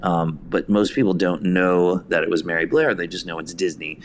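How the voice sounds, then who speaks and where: A man's voice: deep voice, moderate pace, a little hiss or hum. A man talking reflectively as an interview guest, a theater director speaking in an earnest, discursive way. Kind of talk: reflective conversational interview talk.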